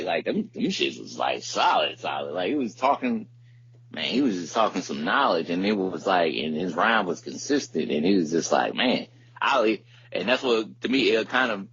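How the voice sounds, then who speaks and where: A man's voice: sits low, a bit bright, husky, lo-fi sound. A man chatting casually as a podcast co-host, in slangy talk that often circles back to repeat itself. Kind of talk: casual chat about hip hop.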